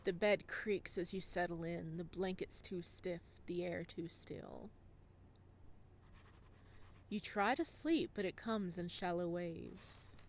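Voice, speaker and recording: Slow-paced woman reading a story game. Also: fairly low pitch, slight background noise. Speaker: gaming video host. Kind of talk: playthrough, reading visual novel narration aloud with reactions.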